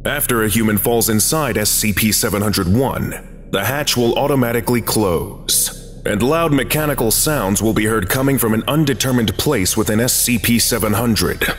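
Low-voiced male narrator in long reverb. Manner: formal, measured, clinical. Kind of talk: scripted narration in the manner of a formal archive file briefing. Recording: long reverb, music behind. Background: music.

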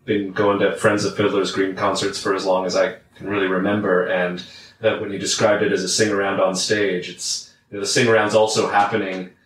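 A man's voice: low voice, flat delivery, fairly quick, a bit bright, slight background noise. A young man hosting a video call interview, speaking hesitantly and repeating words. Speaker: host. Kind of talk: casual interview talk. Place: home room with bookshelves. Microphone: video call, headset. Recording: roomy, distant video call.

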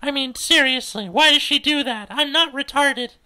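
A man's voice: very high-pitched, normal speed, sharp tone, pitched above the performer's own voice, exaggerated voice. A man voicing a sarcastic, insecure young woman in a story, eye-rolling and mocking. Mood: indignant. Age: young adult.

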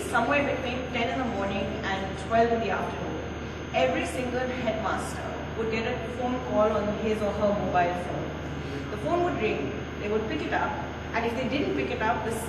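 A woman with an Indian accent gives a conference keynote, articulate and analytical, often hesitating and repeating words, recorded in a noisy, echoing hall from a distance. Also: on the high side. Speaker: keynote speaker.

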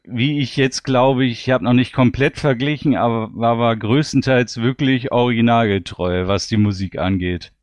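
A man's voice: mid-range voice, normal speed, few pauses, no echo. A man co-hosting a casual panel chat about audio dramas, talking enthusiastically and leaning on emphatic words. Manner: enthusiastic, often repeats "wirklich". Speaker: podcast host.

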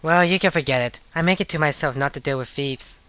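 A man's voice: a little high, fast, sharp tone, smooth, dry recording. A man voicing a hot-tempered, bossy young sorceress in a parody anime fan dub, sarcastic and foul-mouthed. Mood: irritated.

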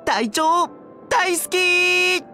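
A Japanese actor playing a flippant, playful, laid-back young soldier in an anime game. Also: male voice, very high voice, extremely slow, pushed and bright, faint music behind, exaggerated voice.

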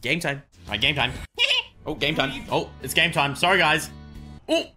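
An excitable video game streamer chatting exclamatorily on a livestream. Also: medium pitch, brisk, soft background music.

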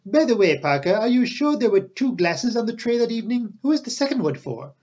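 A man reading an audiobook, voicing a dapper, excitable foreign detective with emphatic, courteous, quaint phrasing. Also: very high-pitched, fairly quick, pitched above the performer's own voice, exaggerated voice. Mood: excited. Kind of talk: audiobook character dialogue.

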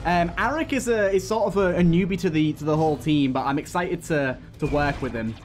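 A man's voice: fairly high pitch, a little fast, slight background noise. A man giving casual video game commentary, thinking aloud and often repeating himself. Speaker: let's play host. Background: game music.

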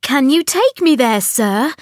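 An earnest young storybook heroine played by a woman narrator, eager and determined. Her voice sits very high and swings with feeling as she pleads and exclaims. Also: animated, slow, darkish tone, no room sound, studio quality. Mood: eager, pleading.

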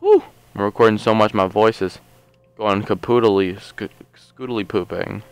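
A man casually commenting on his gameplay, rambling and joking as he thinks aloud, a little hoarse from a long session. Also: on the low side, steady tone, normal speed. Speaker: let's play host.

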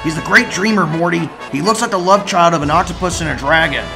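A man's voice: on the high side, quick pace, vocal fry. A man voicing cartoon characters, a cynical old scientist and his anxious teenage grandson, in an audio drama. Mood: cynical, dismissive. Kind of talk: fan-made cartoon audio drama acting. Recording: music and crowd behind the voice.

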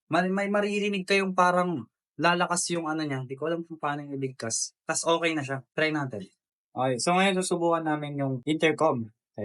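A man with a Filipino accent walks viewers through a tutorial in an informal vlogger style. His voice sits a little high for a man and sounds smooth and clear. He talks casually, as if to friends. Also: a bit bright. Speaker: vlogger giving a product tutorial.

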